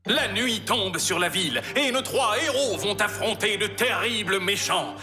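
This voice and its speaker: A man playing a dramatic superhero cartoon narrator, announcing with drawn-out words, echoey over loud theme music. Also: high-pitched, expressive, heavily acted.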